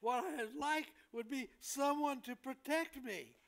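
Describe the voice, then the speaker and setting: A man's voice: very high voice, measured pace, vocal fry, fairly bright tone, exaggerated voice. An older man playing a tired, complaining hospital patient in a staged comedy reading, hesitant and plaintive.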